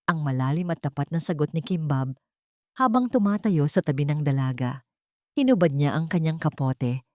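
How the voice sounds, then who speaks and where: Female narrator, lowish pitch, solemn, melodramatic, scripted storytelling, very dry close sound. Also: a little mellow, no echo. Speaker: audio drama narrator.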